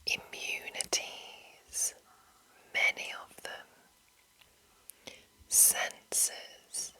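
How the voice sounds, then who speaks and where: A woman whispering breathily in an ASMR style, reading aloud in deliberate short phrases with soft commentary. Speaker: ASMR host reading a monster manual.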